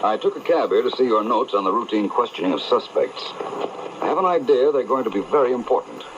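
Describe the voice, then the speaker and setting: An actor playing an assured, polished gentleman detective in an old-time radio drama, composed and authoritative. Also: medium pitch, lively intonation, fast talker, lightly acted. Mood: brisk, businesslike. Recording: muffled, noisy vintage broadcast over AM radio.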